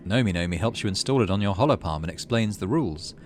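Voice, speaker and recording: A man's voice: fairly low pitch, expressive, quick pace, a little hiss or hum. A man giving casual gaming commentary, reading game text aloud and muttering as he thinks. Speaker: let's play host.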